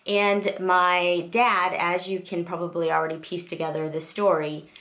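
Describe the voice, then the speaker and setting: A woman in her thirties, born in South Korea and raised in the States, talks to her camera in a low, easy voice. She rambles through a get-to-know-me vlog, full of ums and restarts. She records in her husband's music studio at home. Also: little pitch movement, moderate pace, a bit bright. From South Korea, raised in the United States. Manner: rambling and chatty, many fillers and restarts. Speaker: vlogger. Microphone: camera in front of her.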